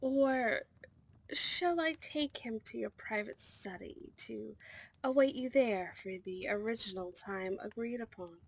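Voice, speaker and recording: Woman acting a slow-spoken, husky, dutiful royal maid. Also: fairly high pitch, full character voice. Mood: deferential. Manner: formal, deferential, measured. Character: dutiful, protective female servant of a vampire princess. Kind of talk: scripted ASMR roleplay acting.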